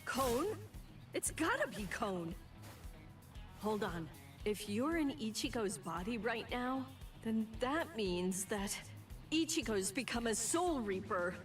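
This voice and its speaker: A woman playing a stern, composed young female soul reaper in an English anime game dub, speaking in a measured, serious way. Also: on the high side, lively intonation, recorded from a distance. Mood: suspicious. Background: loud game music.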